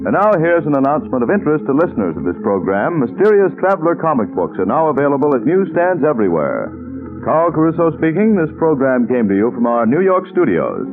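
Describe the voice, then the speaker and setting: Tinny 1940s recording, male radio announcer, scripted, formal, expressive intonation, faint music. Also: a little high, fast.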